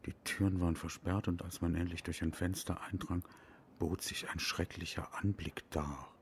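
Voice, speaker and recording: An actor playing a wry, bookish Viennese historian in a German audio drama, with a husky voice. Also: male voice, deep voice, steady tone, normal speed, slight background noise. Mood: absorbed, reading aloud. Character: bookish, self-mocking male Viennese historian, wry and absorbed.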